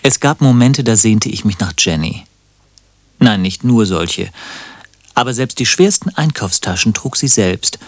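A man's voice: lowish voice, lively intonation, a little slow, dry recording. An actor in a radio play playing a sardonic, self-pitying man with a dry, ironic way of talking. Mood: wry, melancholic. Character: sardonic, self-pitying lovelorn man narrating his own misery. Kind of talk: radio play narration and dialogue.

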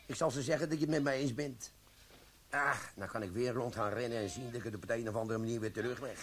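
An actor playing a sly, glib army quartermaster sergeant in a radio play, talking with cheeky, wheedling banter. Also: male voice, medium pitch, steady tone, quick pace, a bit bright, slight background noise, close to an everyday voice.

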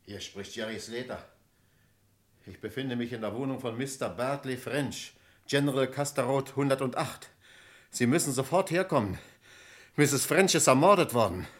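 An actor playing a nervous neighbor who speaks hesitantly, in 1960s radio drama style. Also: male voice, medium pitch, moderate pace, natural voice. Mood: urgent. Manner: hesitant, quietly uneasy.

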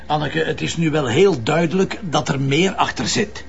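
Stubborn Flemish police commissioner, slightly muffled. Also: male voice, a little high, animated, moderate pace, gravelly. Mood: irritated, defensive. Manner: dismissive, wry. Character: stubborn, evasive, sardonic middle-aged male police commissioner. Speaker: actor playing a police detective. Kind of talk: naturalistic TV crime drama dialogue. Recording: dull, lo-fi TV soundtrack.

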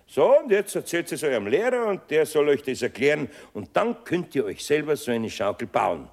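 Gravelly older Bavarian craftsman. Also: on the high side, sing-song, moderate pace, darkish tone. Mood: mock-solemn bluffing. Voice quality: gravelly, husky. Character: kindly, wily older male master craftsman, gruff but good-natured. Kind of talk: 1970s children's radio play acting.

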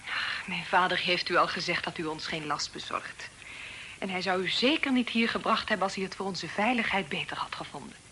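An actress playing a proud, guarded young daughter of a fugitive in a period radio drama, speaking formally with spirit. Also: female voice, on the low side, a little fast, pushed and bright. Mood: courteous. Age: young adult. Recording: noisy old radio drama recording.

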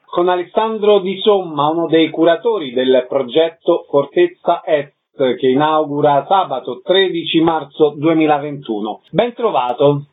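A man with a fairly high voice hosts a radio interview. The sound is thin and narrow, like a phone. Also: measured pace, few pauses.

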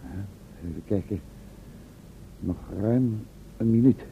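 A man's voice: on the low side, takes it slowly. An actor playing a professor's uneasy, deferential male assistant in a radio play, hesitant, polite and questioning. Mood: nervous, waiting. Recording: old, muffled thin radio recording with noise.

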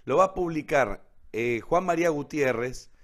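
A man's voice: a little high, expressive, moderate pace, fairly bright tone. A man with an Argentine accent presents a literature segment in an informal lecturing style. He is didactic, stressing and repeating the important points. He often checks in with short tag questions as he explains. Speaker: literature presenter on a radio theatre programme.

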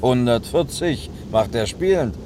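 A man's voice: medium pitch, animated, unhurried, natural voice. An actor playing a cautious, dutiful male chauffeur in a German radio drama, talking in a matter-of-fact, colloquial, admonishing way. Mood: brisk, familiar. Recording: clear vehicle noise behind.

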